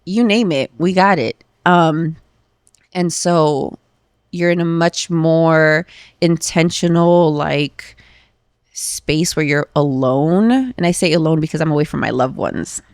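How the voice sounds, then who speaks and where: Thirties woman guest, gravelly and lowish, candid chatty podcast talk, dry close mic. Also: fairly even intonation, takes it slowly, a little mellow, dry recording. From Los Angeles. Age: 30s. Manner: candid, emotional, uses many fillers like "um" and "like". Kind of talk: casual conversational interview.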